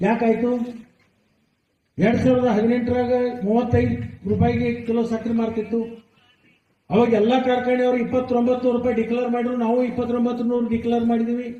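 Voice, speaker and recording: High-pitched North Karnataka man giving a speech. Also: monotone, fast, dark tone. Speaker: speaker at a public meeting. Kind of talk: public speech to farmers. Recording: muffled, echoey public-address sound, distant mic.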